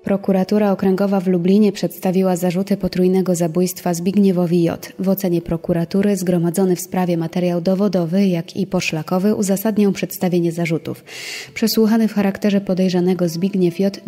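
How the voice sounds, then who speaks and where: A woman's voice: on the low side, fairly even intonation, a little fast, warm tone, soft background music. A woman reading statements aloud in a reverberant recording. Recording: long reverberant tail on voice.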